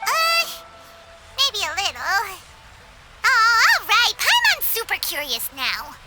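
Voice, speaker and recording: A woman voicing a tiny, childlike, cheeky girl companion in a video game, squeaky and given to exclamations. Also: huge pitch swings, slow pace, loud music behind the voice, exaggerated voice, rough recording. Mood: curious, excited. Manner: exclaiming, speaks of herself in third person.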